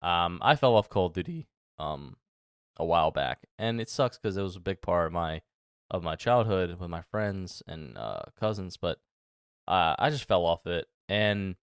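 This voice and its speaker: A thirty-year-old man hosts a solo podcast in a deep, smooth voice. He talks casually and loosely, circling back with ums and restarts. The recording is close and dry. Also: expressive, moderate pace, dry recording. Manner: rambling, many fillers and restarts. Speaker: podcast host.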